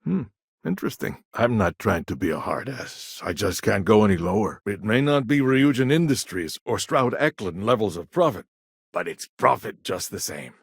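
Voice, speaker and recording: A man acting a shrewd, self-assured businessman in a video game, with a wry, smooth-talking manner. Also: on the low side, very animated, normal speed, dry recording, studio quality, exaggerated voice. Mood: wry, confident.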